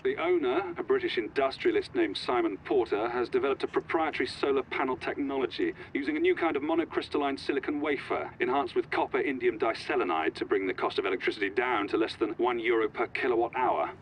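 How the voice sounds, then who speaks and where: A man's voice: medium pitch, fast talker, few pauses, slight background noise, lightly acted, poor audio. An actor playing a businesslike male criminal associate in a video game cutscene, formal and matter-of-fact. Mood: formal, factual. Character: businesslike male henchman briefing a criminal board. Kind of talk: scripted briefing in game cutscene.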